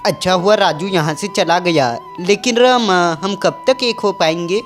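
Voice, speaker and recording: A woman's voice: on the low side, measured pace, lightly acted. A woman voicing a furtive young man, the villain's secret lover, in a cartoon. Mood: conspiratorial. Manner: furtive, conspiratorial. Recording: music bed under the voice.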